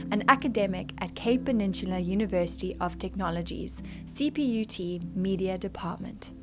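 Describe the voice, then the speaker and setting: A young adult woman narrates a written news feature. Her voice sits at a middle pitch, and she delivers the text as a scripted read, in the role of a student journalist reading an article.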